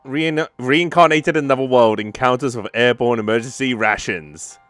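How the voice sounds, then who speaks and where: A woman's voice: very deep voice, moderate pace. A woman giving casual game commentary, musing aloud and echoing the dialogue as she goes. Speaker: gamer, let's-play commentator. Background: game music.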